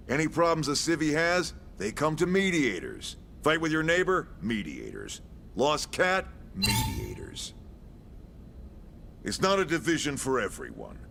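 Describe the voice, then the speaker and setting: Wry, animated military commander. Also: male voice, medium pitch, vocal fry, slight background noise, heavily acted. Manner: folksy, wry, sardonic. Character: gruff, wisecracking male military commander. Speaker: commanding officer briefing recruits. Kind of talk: video game cutscene acting.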